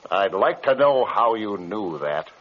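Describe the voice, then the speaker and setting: An actor playing a blunt, impatient airline company president in an old radio drama, with a brusque, clipped manner. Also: male voice, lowish voice, sing-song, unhurried. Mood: troubled, demanding. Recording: muffled vintage radio recording.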